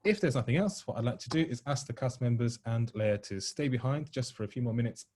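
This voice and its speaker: A man with a mid-pitched voice hosts an online gathering. He is polite and reassuring as he addresses the audience, often pausing on ums and uhs in an informal, hesitant flow. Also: a little mellow. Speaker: host of an online play table read. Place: online video call.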